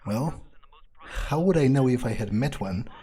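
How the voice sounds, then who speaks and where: A man doing in-character roleplay commentary over a video game, thinking aloud in a sardonic, amused way with halting phrasing. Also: medium pitch, animated, dark tone. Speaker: gamer playing in character.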